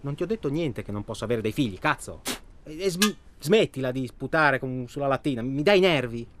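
A man's voice: medium pitch, expressive, noisy background, natural voice. Actor playing an irritable, defensive married man. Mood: irritated, defensive. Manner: profane, halting, repeats himself. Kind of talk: naturalistic radio drama dialogue.

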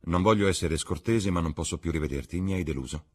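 Cruel young gentleman, acted by a male narrator: rough-edged voice, theatrical scorn and bitter passion, for a classic novel reading. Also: low voice, fast. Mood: cold disdain.

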